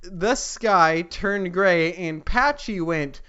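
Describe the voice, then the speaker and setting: A podcast co-host in crude comic banter, reading fan fiction in a mock-theatrical, drawn-out way. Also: medium pitch, bright, forceful tone, smooth, muffled recording. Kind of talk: casual crude comedic banter and dramatized fanfic reading.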